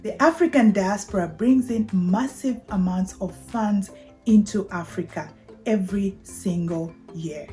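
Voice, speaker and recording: Zambian lady doing a youtube explainer, soft mellow tone, measured and deliberate, faint music behind. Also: medium pitch. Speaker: video channel host. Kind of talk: prepared explainer talk to camera.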